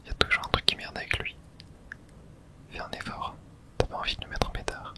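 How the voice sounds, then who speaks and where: A woman whispering casual, slangy ASMR commentary as she plays a horror video game. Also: brisk.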